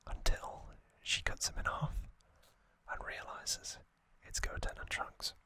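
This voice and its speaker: Slow, whispering man hosting ASMR. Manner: soft whisper, slow, with hesitant fillers. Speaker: ASMR host.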